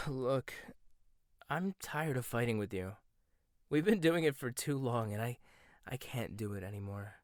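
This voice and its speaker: A young man voicing a proud, sharp-tongued male chef in a roleplay audio, speaking close to the mic. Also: medium pitch, expressive, unhurried, no room sound, close to an everyday voice. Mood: soft, sincere. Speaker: voice actor. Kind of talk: boyfriend ASMR roleplay.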